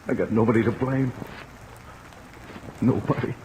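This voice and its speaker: An actor playing a rough, remorseful twenty-seven-year-old drifter in an old radio drama, speaking in a halting, plain-spoken way. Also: male voice, medium pitch, fairly even intonation, close to an everyday voice. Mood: broken, resigned. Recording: noisy old radio recording, rain overlaid.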